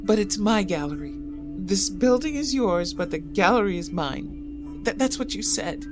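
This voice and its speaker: A woman plays a grieving young poet with a soft, halting delivery who stumbles over words. Her voice is moderately pitched for a woman. A musical score plays underneath this audio drama. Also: animated, over music, natural voice. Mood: hesitant. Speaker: Robin.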